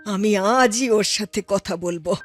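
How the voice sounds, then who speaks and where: A woman acts an older village mother in a cartoon, affectionate toward a grown child. The voice is mid-pitched and emphatic, with faint music behind it. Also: slow pace. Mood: delighted.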